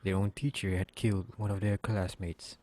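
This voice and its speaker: Narrator: man, lowish voice, monotone, plainly reading a scripted story. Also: moderate pace, a little hiss or hum.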